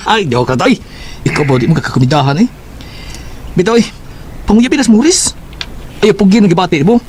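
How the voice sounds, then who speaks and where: An actor playing a teasing coworker and friend who gives love advice, in a radio drama. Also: male voice, sits high, lively intonation, brisk, long pauses, darkish tone, a little hiss or hum. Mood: advising. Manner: teasing, advising. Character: teasing male coworker and buddy.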